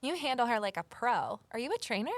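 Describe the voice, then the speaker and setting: An actress playing a wary, determined amateur sleuth in a naturalistic audio drama, conversational and gently wry. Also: female voice, a little high, big pitch swings, fast talker, no echo. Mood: friendly, curious. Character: Evelyn, wary determined former journalist turned amateur sleuth. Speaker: lead character and first-person narrator.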